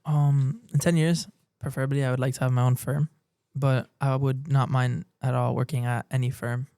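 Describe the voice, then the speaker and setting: A young male architecture student speaks sincerely about his passion in a gravelly, mellow voice. His pitch stays flat, and the sound is dry and close. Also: moderate pace, no echo. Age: young adult. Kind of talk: interview answers.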